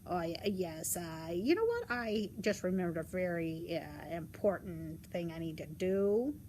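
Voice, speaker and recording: A woman's voice: lowish voice, very animated, mellow, slight background noise, voice pushed down. A woman voicing a sly storybook wolf who pretends to be a sick granny, stalling and wheedling as it talks. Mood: nervous, evasive. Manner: hesitant stammering, wheedling, drawn-out replies. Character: sly big bad wolf posing as sick grandmother.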